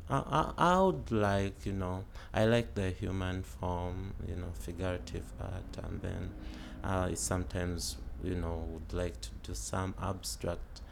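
A man in his late twenties, originally from Uganda, answers an interviewer's questions about his art studies. He talks slowly in a deep, gravelly voice, full of restarts and fillers. There is faint hiss in the background. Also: steady tone. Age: 20s. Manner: hesitant, many fillers and restarts. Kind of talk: casual oral history interview.

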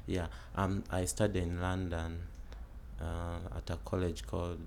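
Interviewee: Ugandan-born man, late twenties, very low gravelly voice, slow and halting, faint background hiss. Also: flat delivery, a little mellow. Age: 20s. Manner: hesitant, many fillers and restarts. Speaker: interviewee, art student. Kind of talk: casual oral history interview.

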